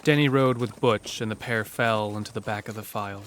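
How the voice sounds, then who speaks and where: A man's voice: on the low side, fairly even intonation, a little fast, a bit bright, a little hiss or hum. A man narrating a scripted western horror story for an audio drama.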